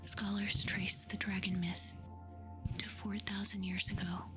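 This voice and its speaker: A woman doing soft-spoken ASMR, reading facts aloud in a hushed, soothing, breathy whisper. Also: mid-range voice, level tone. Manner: slow, hushed, soothing delivery with short phrases. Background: music.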